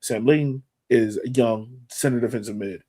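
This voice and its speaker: A man's voice: medium pitch, moderate pace, warm tone. A man co-hosting a sports podcast in casual fan chat, talking emphatically and repeating phrases to drive points home.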